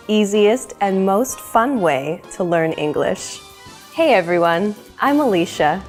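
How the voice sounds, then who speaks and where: Woman, English teacher; upbeat, unhurried, very expressive pitch. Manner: clear, upbeat, slow modeling of example phrases. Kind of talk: scripted language lesson.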